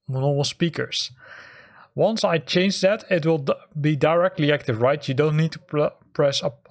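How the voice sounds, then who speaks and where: A man hosting a how-to tutorial, explaining things step by step in an informal way. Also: a little high. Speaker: host of a flight simulator tutorial channel. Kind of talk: spoken how-to tutorial over screen capture.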